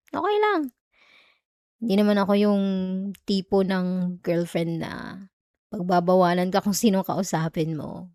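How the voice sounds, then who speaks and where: A woman's voice: mid-range voice, a little mellow, no echo, clean studio sound, lightly acted. A woman with a Filipino accent playing a jealous, hurt young girlfriend in an emotional, sarcastic audio roleplay. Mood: feigned indifference. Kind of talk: Tagalog ASMR girlfriend roleplay, acted monologue.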